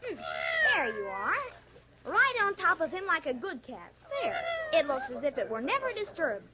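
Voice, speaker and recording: Cocky scheming boy, high swooping voice, 1940s radio drama, muffled with crowd behind. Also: very animated, moderate pace. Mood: mocking. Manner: mocking, haggling, insolent. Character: sly, greedy, cocky young boy, teasing his uncle.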